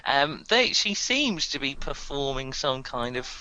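A man's voice: mid-range voice, big pitch swings, measured pace, pushed and bright, slight background noise, poor audio. A man narrating as game master for a tabletop game, rambling with many fillers and chuckles.

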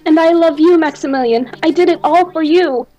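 A woman's voice: high-pitched, a little fast, warm tone, lightly acted. A woman playing a devoted, doomed lady lover melodramatically in an amateur horror radio play, on a dull, muffled microphone. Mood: loving, desperate.

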